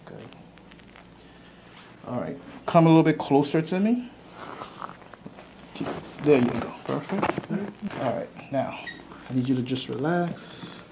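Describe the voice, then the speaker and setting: A man's voice: a little high, lively intonation, unhurried, creaky, pauses often, noisy background. A man working as a chiropractor, giving casual, reassuring hands-on instructions in short repeated prompts. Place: small indoor chiropractic treatment room.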